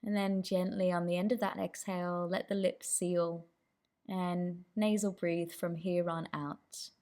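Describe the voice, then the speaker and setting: Woman, meditation guide, lowish pitch, heavy vocal fry, gentle instructive delivery.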